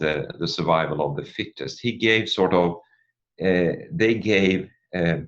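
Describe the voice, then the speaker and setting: A middle-aged man from Sweden with a Swedish accent gives an academic presentation, speaking haltingly and often repeating words as he searches for the next phrase. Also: sits low, a bit bright, highs cut off. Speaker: academic guest speaker, population geneticist.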